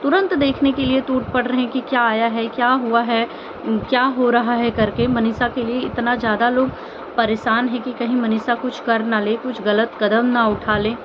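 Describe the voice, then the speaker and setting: A woman with a fairly high voice speaks as an informal commentary vlogger. She is earnest and pleading, often repeating herself and posing rhetorical questions. The sound is somewhat muffled, with clear background noise behind her. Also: flat delivery, moderate pace. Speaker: vlogger commenting on online family drama. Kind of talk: informal opinion commentary addressed to viewers.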